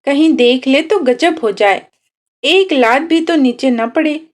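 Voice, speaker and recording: Storybook role: downtrodden, defiant village woman played by a female narrator, high-pitched, bitterly questioning, slow-paced. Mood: bitter indignation. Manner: indignant rhetorical questioning.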